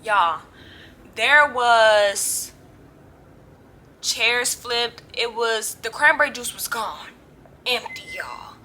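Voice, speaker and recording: A young woman with a high, bright voice tells a story straight to her viewers. Her delivery is casual and slangy, with dramatic emphasis as she plays out who said what. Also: expressive, drawn-out words, pauses often, slight background noise. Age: young adult. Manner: dramatic, slangy, acts out dialogue. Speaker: storytime vlogger.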